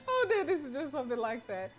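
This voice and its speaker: Casual, expressive woman streamer. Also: sits high, brisk, soft background music. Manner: informal, expressive, reacting aloud. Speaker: livestreamer reading a visual novel. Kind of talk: casual game commentary and read-aloud narration.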